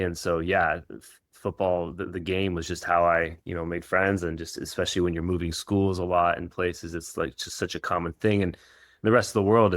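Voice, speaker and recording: A middle-aged man who grew up in Israel, Kenya and the United States, answering interview questions in an informal, reflective, halting way as a coach. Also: low-pitched, no echo. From Israel and Kenya, United States from age 13. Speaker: professional soccer coach.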